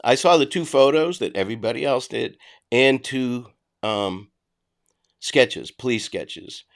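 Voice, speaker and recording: A man's voice: medium pitch, unhurried, creaky. A middle-aged man with a Boston accent, hosting a casual livestream, reading and answering chat in a blunt, conversational way. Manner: blunt, conversational, frequent restarts.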